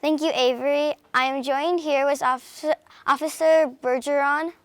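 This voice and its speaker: A woman's voice: sits high, fairly even intonation, normal speed, no room sound. A young woman reporter interviewing a guest politely, with a few hesitations. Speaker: student reporter.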